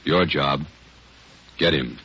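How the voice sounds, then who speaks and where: An American man narrating a 1950s radio crime drama introduction in a grave, measured, clipped way. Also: extremely low pitch, very noisy. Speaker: announcer. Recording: dull radio with loud music.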